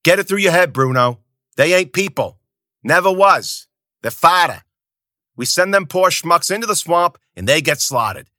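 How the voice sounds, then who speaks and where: A man voicing a loud, profane, domineering male camp boss who yells his orders. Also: fairly high pitch, expressive, normal speed, no room sound, studio quality, pitched above the performer's own voice. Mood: yelling angrily.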